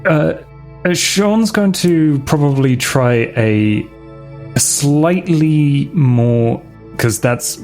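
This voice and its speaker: Guy player in an rpg podcast, creaky fry in his voice, hesitant, thinking out loud, light music under. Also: mid-range voice. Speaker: tabletop game player. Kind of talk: casual actual-play chat. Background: background music.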